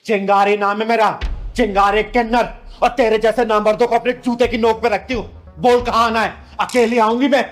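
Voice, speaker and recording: A man's voice: high voice, little pitch movement, fairly quick, faint music behind, close to an everyday voice. A man playing a bold, protective kinnar elder sister in a Hindi moral drama, with a blunt, authoritative manner. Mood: defiant fury. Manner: authoritative, blunt, addresses others as children.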